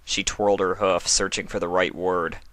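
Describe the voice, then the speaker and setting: A man reading scripted fan fiction as the narrator, in an expressive storytelling style. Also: deep voice, moderate pace, no echo.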